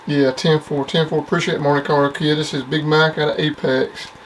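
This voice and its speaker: A man's voice: on the high side, little pitch movement, normal speed, low-quality recording. A middle-aged or older man, chatting casually and plainly in CB radio jargon. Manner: plainspoken, uses repeated CB jargon. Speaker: CB radio operator. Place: at a home CB base station. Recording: faint background noise.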